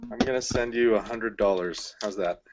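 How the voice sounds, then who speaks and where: A man's voice: lowish voice, moderate pace, heavy background noise. A man filling in as co-host, chatting casually in a joking, offhand way. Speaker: stand-in co-host. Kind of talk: casual chat. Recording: dull lo-fi online call audio.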